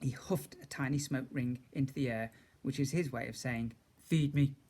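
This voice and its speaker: A man's voice: medium pitch, steady tone, normal speed, darkish tone, husky. A man reading a children's story aloud as a teacher would, expressively, with chatty asides to his young listeners.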